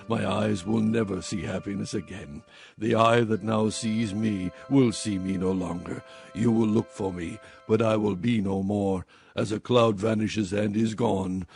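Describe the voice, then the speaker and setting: A man's voice: on the low side, vocal fry, a little mellow, slightly rough, full character voice. An actor playing a despairing, suffering man who laments in solemn anguish in a dramatized scripture reading. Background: music.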